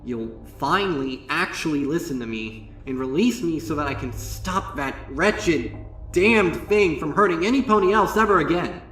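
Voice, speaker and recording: A man's voice: fairly high pitch, lively intonation, normal speed, a bit bright, voice pushed up. A man voicing a traumatized young female unicorn student in a horror story, stammering, pleading and bursting out in bitter indignation. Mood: desperate, bitter. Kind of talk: dramatic first-person horror monologue. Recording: roomy, distant voice over music.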